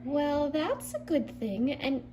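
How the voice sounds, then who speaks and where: A sensible puppet friend from a children's skit, acted by a woman. The voice is high but gentle, counselling in a patient, measured way over a faint hum. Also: animated. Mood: gently reasoning.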